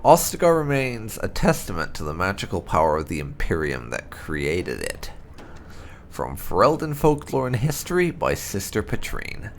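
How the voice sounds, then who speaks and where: A man reading fantasy lore aloud as dramatic narration, in a deliberate storyteller's delivery. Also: sits low, wildly swooping pitch, a little hiss or hum. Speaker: gameplay channel host. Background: music.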